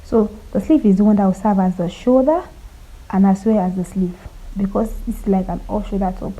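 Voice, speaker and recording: Woman sewing tutor, very dark mellow voice, has a cold, quiet and chatty. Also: normal speed, rough recording. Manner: quiet, low-volume delivery; chatty, checks that viewers follow along. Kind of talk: casual step-by-step sewing tutorial.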